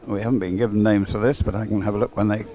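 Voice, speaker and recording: Mellow, low-pitched male race commentator. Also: steady tone, rapid-fire. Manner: hesitant, informal, thinking aloud. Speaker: sports commentator. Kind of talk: live, unscripted race commentary.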